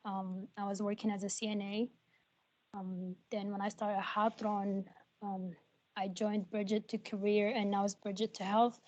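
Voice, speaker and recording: Soft-spoken woman, native Arabic speaker. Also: medium pitch, poor audio. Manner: halting, many ums, soft and earnest. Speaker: nursing graduate. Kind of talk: personal story on a video call. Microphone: video call.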